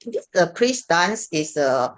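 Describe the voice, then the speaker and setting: A woman's voice: fairly low pitch, sing-song, a little slow. An older woman with a Malaysian English accent, a praise dance teacher chatting on a video call and hesitating with many fillers and restarts. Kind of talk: casual video call chat.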